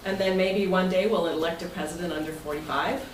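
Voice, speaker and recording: A woman's voice: on the low side, fairly even intonation, fairly quick, crowd noise. An American woman speaking informally as club president and host of a meeting, in a jokey manner. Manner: jokey, breaking into chuckles. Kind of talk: informal speech to a club meeting, part read from prepared text. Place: indoor club meeting room. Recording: echoey, noisy room, distant mic.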